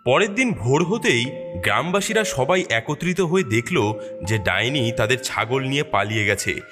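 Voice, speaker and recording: A man tells a cartoon story in a very animated, mid-pitched voice. His scripted narration is expressive, with music clearly underneath. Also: normal speed.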